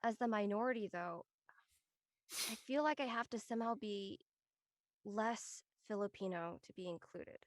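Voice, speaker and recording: A woman's voice: medium pitch, normal speed, long pauses, no echo, clean studio sound, close to an everyday voice. A young woman acting a sincere, conversational college student in an online drama. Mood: hurt, earnest. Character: earnest young Filipino-American college woman, frank among friends.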